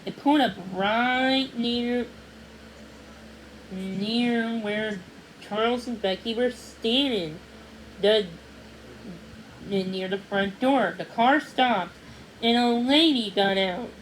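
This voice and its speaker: A woman with a fairly high voice narrates a children's storybook aloud. She reads a little haltingly, doubling back on words now and then, and there is noticeable noise in the recording. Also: low-quality recording. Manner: halting reading, repeats and corrects words. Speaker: read-along narrator.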